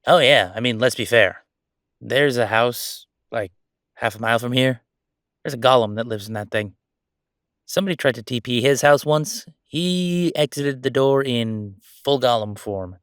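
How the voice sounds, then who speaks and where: A man playing a friendly, mischievous ghost roommate in a casual roleplay audio drama, talking in an excitable, joking, conversational way. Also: mid-range voice, normal speed, clean tone, dry recording, clean studio sound, lightly acted. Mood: chatty, relaxed. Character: friendly male ghost roommate, playful, mischievous, chatty jokester.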